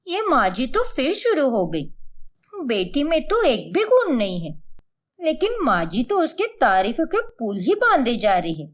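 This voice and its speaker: A woman playing a frank, critical young woman of the household in an animated story, admonishing and exasperated. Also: sits high, measured pace, exaggerated voice. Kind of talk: acted animated moral story.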